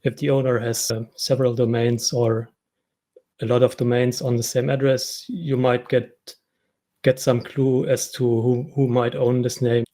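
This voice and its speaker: A man from Germany with a German accent, giving an informal tech talk in a hesitant, halting way with repeated words. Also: medium pitch, level tone, mellow. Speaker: conference speaker, software developer.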